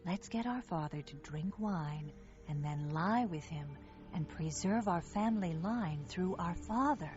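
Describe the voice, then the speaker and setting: A woman's voice: lowish voice, animated, measured pace, lo-fi sound, music in the background. A woman playing a scheming, persuasive elder daughter in a Bible audio drama.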